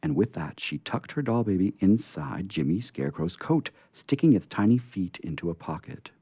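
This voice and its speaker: A man reading a storybook aloud as narrator in a dramatic, expressive way. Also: on the low side, normal speed, gravelly, husky, dry recording.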